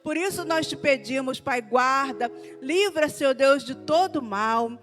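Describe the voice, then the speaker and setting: A woman's voice: high-pitched, lively intonation, measured pace, fairly bright tone. Fervent older woman praying in a hall. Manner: fervent, short repeated invocations. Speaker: prayer leader. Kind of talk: fervent intercessory prayer. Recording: long hall reverb with faint music.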